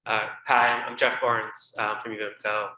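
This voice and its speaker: A man speaking as a panelist about his investment role, quick and a little self-deprecating. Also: fairly low pitch, little pitch movement, pushed and bright. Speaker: endowment investment staff, panelist. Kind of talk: conference panel remarks. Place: conference room with live audience. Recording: echoey room, faint hum.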